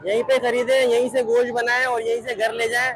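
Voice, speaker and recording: A man's voice: very high voice, level tone, fairly quick, a bit bright, a little hiss or hum. A young man chatting casually as he films himself walking through a crowded outdoor bird market, asking sellers about prices. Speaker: vlogger. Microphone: handheld phone at arm's length.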